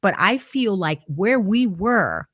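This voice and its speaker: A woman's voice: mid-range voice, animated, slow pace, slightly rough, dry recording, clean studio sound. A woman hosting a faith-based leadership talk, speaking emphatically and building her points through analogies.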